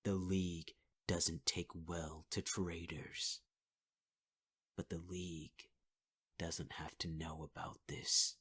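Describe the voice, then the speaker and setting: A man's voice: low voice, level tone, slow pace, a bit bright, slightly rough. A man performing a possessive male villain in an ASMR audio roleplay, speaking close to the mic in a taunting, half-whispered voice and calling the listener sweetheart. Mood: menacing.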